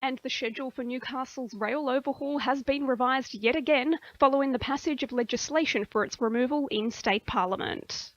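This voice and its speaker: A woman's voice: sits high, brisk, few pauses, no echo, lo-fi sound. A woman with an Australian accent reading a scripted radio news bulletin. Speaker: news reader.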